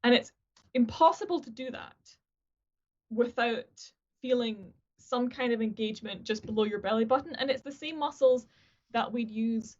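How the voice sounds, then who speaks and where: A woman's voice: fairly high pitch, normal speed, darkish tone. A woman, a guest who sings opera and teaches voice, chatting casually on a video call, often restarting her sentences and joking at her own expense. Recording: dull lo-fi video-call audio.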